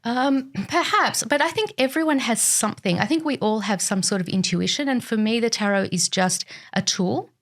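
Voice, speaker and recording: A woman's voice: on the high side, moderate pace, hardly any pauses. A woman from Argentina, a tarot reader guest, answering interview questions in a hesitant way with many ums and restarts. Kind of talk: casual interview.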